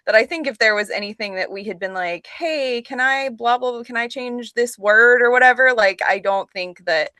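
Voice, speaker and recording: A woman speaking as an actress guest in a casual interview, with an animated, emphatic manner. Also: fairly high pitch, normal speed, hardly any pauses, dry recording.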